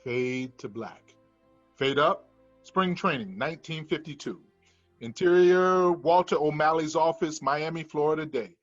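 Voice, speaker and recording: A man's voice: on the high side, a little slow, dull audio. Male screenplay narrator over music. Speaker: narrator reading stage directions.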